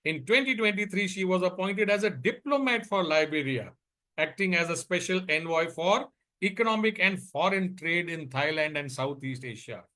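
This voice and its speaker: A man's voice: high-pitched, expressive, moderate pace, sharp tone. A man hosting a talk show, reading out an introduction of a guest.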